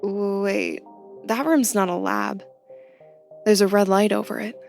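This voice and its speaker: A woman's voice: medium pitch, steady tone, slow pace, creaky, a little mellow, soft background music. Audio drama: young female newcomer, curious yet wary, natural conversational delivery, mid-range voice. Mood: exploring, intent. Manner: conversational, inquisitive. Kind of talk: audio fiction podcast acting.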